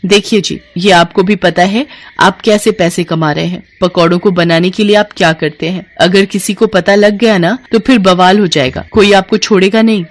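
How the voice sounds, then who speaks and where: A woman's voice: mid-range voice, fairly even intonation, normal speed, hardly any pauses, natural voice. A woman voicing an honest, disapproving young wife who admonishes her husband in a cartoon moral story. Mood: disapproving, warning.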